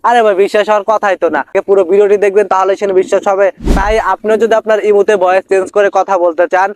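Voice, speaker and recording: Very fast-talking man, tutorial host. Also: sits high, darkish tone, a little hiss or hum. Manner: repeatedly checks in with listeners. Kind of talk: step-by-step screen tutorial narration.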